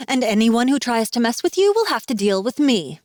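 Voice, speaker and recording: A woman's voice: a little high, big pitch swings, brisk, a little mellow, no room sound, studio quality. A woman voicing a loyal, resolute young human girl in a fantasy audiobook, speaking in a firm, sincere manner.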